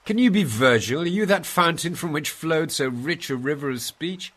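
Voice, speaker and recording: A man's voice: a little high, lively intonation, normal speed, a bit bright, pitched above the performer's own voice. A man voicing an awestruck, frightened poet pleading for rescue in an impassioned, declamatory story reading. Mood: awed. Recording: noisy, hissy background.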